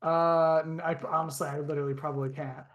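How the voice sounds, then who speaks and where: A man's voice: on the high side, fast talker. A young man co-hosting a casual podcast, telling stories in a rambling way full of restarts and fillers. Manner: animated storyteller. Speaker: podcast co-host. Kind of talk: casual chat.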